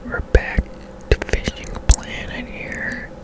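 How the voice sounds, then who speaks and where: A video game host speaks entirely in a soft, breathy whisper. The delivery is slow and gentle, with unhurried pauses, in the style of ASMR gameplay commentary.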